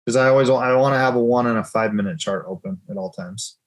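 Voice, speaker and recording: A man hosting a live currency trading session and talking through chart moves in loose, chatty sentences. He teases his co-host and laughs often. His voice sits at an ordinary middle pitch. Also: fast. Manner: casual, teasing, laughs often.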